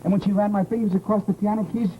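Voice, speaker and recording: An actor in an old-time radio horror drama playing an unhinged, softly insistent grieving widower, halting, coaxing and pleading. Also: male voice, high voice, steady tone, narrow, tinny audio, a little hiss or hum, close to an everyday voice. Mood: agitated, insistent.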